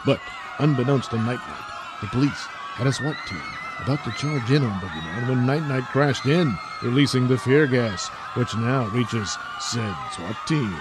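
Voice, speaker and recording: A man's voice: mid-range voice, animated, moderate pace, hardly any pauses. A man narrating a comic audio play in a mock-dramatic comic-book style. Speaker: narrator and creator. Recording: noisy home recording.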